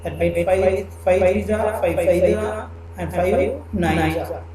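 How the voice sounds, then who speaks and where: A man giving a programming lecture, explaining step by step with halting phrasing and repeated fillers. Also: sits high, crowd noise. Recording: echoey room with background noise.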